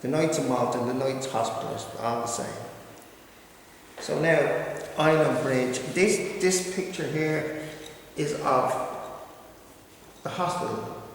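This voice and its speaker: Gravelly man lecturing in an echoey hall. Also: medium pitch, measured pace, long pauses, slight background noise. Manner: reads steadily, then breaks into hesitant asides. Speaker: local history speaker. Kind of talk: history lecture read from notes. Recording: echoey hall recorded from far off.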